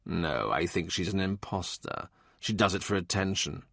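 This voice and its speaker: A stern middle-aged ex-chancellor from a historical audiobook, acted by a male narrator, devout and scornful. His voice sits low and sounds dry and close, and he speaks curtly with dour relish. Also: expressive, normal speed, gravelly, a bit bright, no echo, heavily acted. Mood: dismissive. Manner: curt, dour, gloomy relish.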